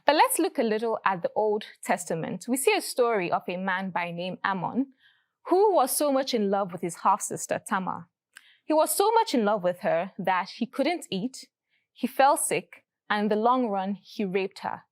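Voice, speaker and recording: A woman hosting a faith talk show, her voice lively and moving up and down in pitch. She speaks in a clear, measured presenter style. The sound is dry and close, with almost no room echo. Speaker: host. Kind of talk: scripted show intro and interview.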